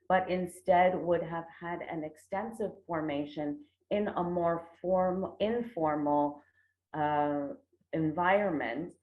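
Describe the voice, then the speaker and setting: Slow American woman on tinny, roomy audio. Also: lowish voice. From United States. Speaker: conference presenter, traditional medicine researcher. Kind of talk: formal academic presentation. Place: indoor room, remote online presentation. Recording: roomy, tinny phone-like online call audio.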